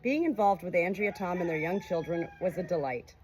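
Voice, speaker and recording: A woman narrating a memoir aloud in an expressive, emotive way. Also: fairly low pitch, brisk, soft background music. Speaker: audiobook reader.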